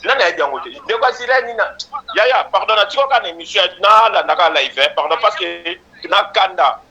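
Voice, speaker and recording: Man, informal message, quick forceful delivery, choppy repeats, dull low-quality recording. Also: expressive. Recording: dull lo-fi played-back audio.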